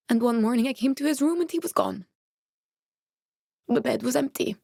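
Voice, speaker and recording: A woman's voice: medium pitch, a little mellow, dry recording, studio quality, close to an everyday voice. A young woman actor playing a determined, guarded scientist in a video game, speaking in an earnest, serious way. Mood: grieving. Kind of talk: dramatic video game dialogue.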